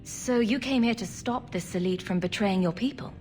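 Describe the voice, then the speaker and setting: Game lead, female actor: diplomatic champion, measured and probing, medium pitch, faint music behind. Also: darkish tone, natural voice. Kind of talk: video game cutscene acting.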